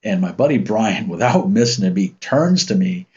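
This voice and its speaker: Male streamer, 50s, from the States, deep commanding husky voice, loose casual storytelling, dull lo-fi sound. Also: moderate pace. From United States. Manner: rambling, relaxed, with many ums and restarts. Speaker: channel host reading live chat. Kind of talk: casual livestream chat and storytelling. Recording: muffled lo-fi stream audio.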